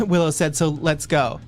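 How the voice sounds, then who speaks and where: A woman's voice: sits low, steady tone, measured pace, a little mellow, faint music behind. A woman co-hosting a livestream, giving a mock-dramatic reading of fan fiction, theatrical and stumbling over words, with asides thrown in. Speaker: livestream co-host.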